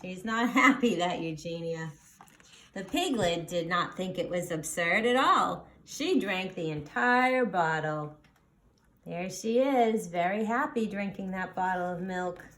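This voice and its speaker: Expressive woman reading to children, slow pace. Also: on the low side. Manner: explains words simply, addressing young listeners. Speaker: storybook reader. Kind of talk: animated children's read-aloud with explanatory asides. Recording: roomy, echoing sound.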